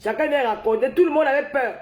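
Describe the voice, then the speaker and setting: A woman's voice: sits high, fast, roomy sound. A woman with the flu, hosting a casual phone livestream and ranting heatedly and repetitively straight at her viewers. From Cameroon. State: has the flu. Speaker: livestream host. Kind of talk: casual live-stream rant.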